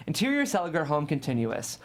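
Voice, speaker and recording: A man's voice: a little high, wildly swooping pitch, brisk. A man serves as narrator at a script table read. He reads scene descriptions and stage directions as scripted narration.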